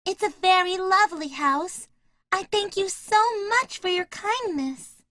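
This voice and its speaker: A woman playing a polite, earnest, motherly little girl in a children's animated story. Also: extremely high pitch, measured pace, dry recording, studio quality, full character voice. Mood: polite surprise.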